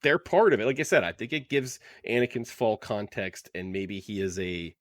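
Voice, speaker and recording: Low-voiced man in casual podcast chat. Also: moderate pace, no room sound, studio quality. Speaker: podcast co-host. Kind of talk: casual book club discussion.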